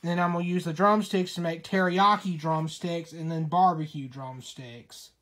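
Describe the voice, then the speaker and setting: A man's voice: a little high, steady tone, normal speed, a bit bright. A man casually showing his grocery haul item by item, speaking in a plain, matter-of-fact way into a handheld phone camera at home. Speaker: vlogger. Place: small indoor room at home. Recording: echoey room with faint hiss.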